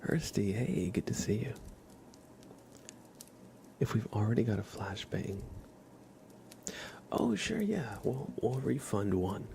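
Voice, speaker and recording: A man speaking gently and softly, at times whispering, as an ASMR livestreamer guiding a relaxing scene. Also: fairly low pitch, expressive. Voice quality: soft, partly whispered. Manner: gentle, unhurried, casual. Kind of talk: ASMR, soft relaxing talk and guided visualization. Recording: clear crinkling and ambient noise.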